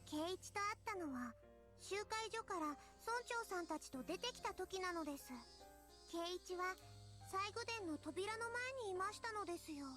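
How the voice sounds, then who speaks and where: A sweet little anime schoolgirl, extremely high and quick, full of childlike cutesy tics. It is visual novel game dialogue with music softly behind. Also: lively intonation. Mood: innocent, puzzled. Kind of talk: Japanese visual novel voice acting.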